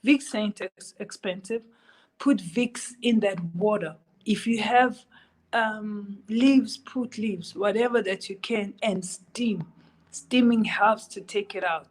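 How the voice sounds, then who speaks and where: Woman, South African accent, recovering from illness, earnest, pleading, repetitive, unhurried speech. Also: medium pitch, poor audio. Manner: urgent, pleading advice with repetition. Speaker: guest sharing her recovery story. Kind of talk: earnest personal testimony.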